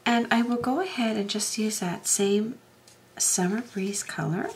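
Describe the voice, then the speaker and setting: Slow soft-spoken woman, slightly hoarse. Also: mid-range voice, slight background noise. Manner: soft, unhurried, often half-whispered. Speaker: craft tutorial host. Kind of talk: casual step-by-step demonstration.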